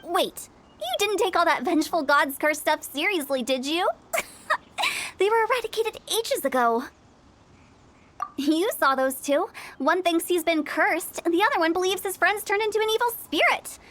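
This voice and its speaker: A woman's voice: very high voice, animated, moderate pace, noisy background. An actress playing a playful, shrewd young woman who runs a funeral parlor in a game, confident and teasing. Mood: explaining. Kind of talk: game voice acting.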